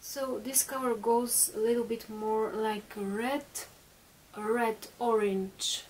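Slow, chatty Bulgarian woman vlogger. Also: a little high, fairly even intonation, a little mellow, far from the mic, a little hiss or hum, low-quality recording. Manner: chatty, unhurried, many fillers and restarts. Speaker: beauty vlogger. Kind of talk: makeup tutorial talk. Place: small bedroom, indoors.

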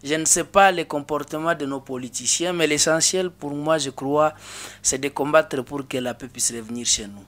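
Congolese man, thirties, somewhat high pitch, earnest, restarts often, studio desk mic. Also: moderate pace, fairly bright tone. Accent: Congolese French. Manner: earnest, with frequent restarts and hesitations. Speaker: interview guest. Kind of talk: studio interview. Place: small indoor studio. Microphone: desk microphone on a boom arm.

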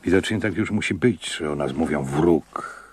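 A weary, very deep-voiced innkeeper. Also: male voice, darkish tone, slight background noise. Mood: bitter, resigned. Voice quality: husky. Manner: deliberate, resigned, weighty. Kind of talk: radio drama acting.

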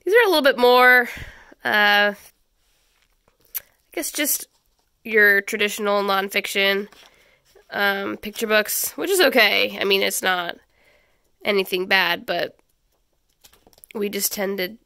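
A stuffy, congested woman in her thirties talks through a stack of books in a small home schoolroom, chatty and peppered with ums. Her voice is bright and crisp, with a slight gravelly fry. The close camera mic gives a dry, near sound. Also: medium pitch, takes it slowly, pauses often, no echo. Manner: chatty, many ums and restarts. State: stuffy from a head cold. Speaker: homeschool channel host. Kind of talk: casual book review walkthrough.

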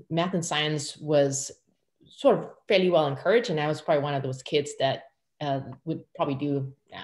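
A middle-aged woman from Malaysia speaks on a video call from a bright room at home. Her voice sits low, and her talk is chatty and easygoing, and she often tags her sentences with a quick question. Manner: chatty, frequent tag questions. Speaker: tech executive guest. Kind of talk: conversational interview answers. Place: home room with large windows.

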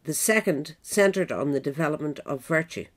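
A woman's voice: low-pitched, normal speed. A woman narrator reading a scripted biographical essay aloud. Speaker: narrator, reader.

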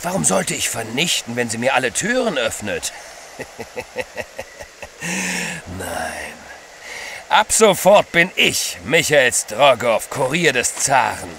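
Gloating, creaky-voiced sadistic villain. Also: male voice, mid-range voice, sing-song, fairly bright tone, slight background noise, full character voice. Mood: gloating, menacing. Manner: theatrical, menacing, drawn-out delivery. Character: gloating, sadistic male villain impersonating the Tsar's courier. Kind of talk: audio drama acting.

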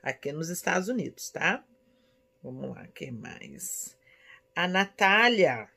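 Expressive Brazilian woman chatting affectionately. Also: fairly low pitch, takes it slowly, gravelly, slightly rough. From São Paulo, Brazil. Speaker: vlogger. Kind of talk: casual chat answering viewer questions. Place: small indoor room at home.

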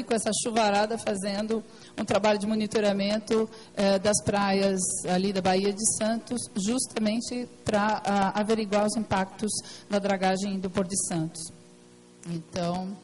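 A woman with a hoarse, partly lost voice, giving a hesitant technical presentation at a council meeting, full of fillers and restarts. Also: medium pitch, a little mellow, poor audio. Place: meeting room at a plenary session.

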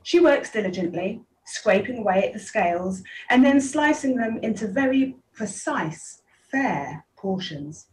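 Woman narrator for play reading; scripted delivery, slightly high voice, echoey room. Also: expressive, unhurried, few pauses. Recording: echoey, distant from the mic.

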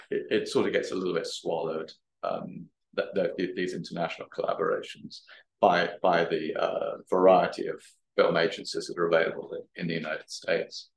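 A man giving an academic talk and answering questions, speaking haltingly and often repeating words. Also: lowish voice, vocal fry. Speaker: guest lecturer. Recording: roomy, echoey sound.

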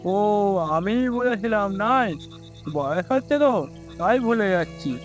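A man's voice: sits high, lively intonation, slow pace, faint music behind. A man voicing a kindly, aging village shopkeeper in a cartoon story, familiar and avuncular. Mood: casual, coaxing. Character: kindly aging village snack-shop uncle.

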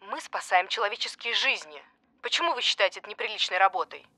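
Fast, bright-voiced, pushy woman journalist. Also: medium pitch, animated, dry recording. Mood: polite, insistent. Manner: polite, insistent questioning. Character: pushy, desperate female journalist fishing for an interview. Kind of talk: naturalistic audio drama acting.

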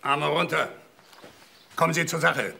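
A man's voice: fairly high pitch, unhurried, gravelly, bright, forceful tone, exaggerated voice. An older man playing a proud, imperious old German count in a crime drama, formal, curt and indignant. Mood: commanding, alarmed.

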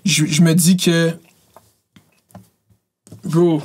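Husky Quebec French podcast host. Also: male voice, fairly high pitch, level tone, very slow, darkish tone. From Laval, Quebec. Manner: casual, mixes English slang into French. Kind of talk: casual podcast interview. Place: podcast studio.

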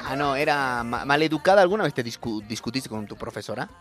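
A man with a Bolivian accent hosting lively, bantering radio talk. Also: mid-range voice, fast. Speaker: radio host. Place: radio studio. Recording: loud music and crowd behind.

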